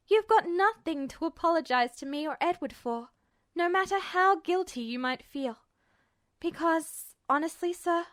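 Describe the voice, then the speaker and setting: A woman voicing a calm, steady woman lieutenant who teases gently. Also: high voice, no echo, studio quality. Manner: steady, sure, softly teasing. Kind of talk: podfic reading of fan fiction.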